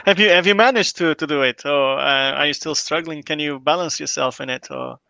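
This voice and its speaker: A man's voice: fairly high pitch, brisk, creaky, pushed and bright, no room sound. A man hosting a livestream interview and asking encouraging follow-up questions. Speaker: host.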